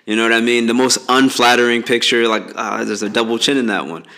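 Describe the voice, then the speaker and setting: Late-twenties male co-host, easygoing and jokey, mid-pitched voice, casual podcast chat. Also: fairly even intonation, quick pace, pushed and bright. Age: late 20s. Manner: laid-back, joking, slangy advice.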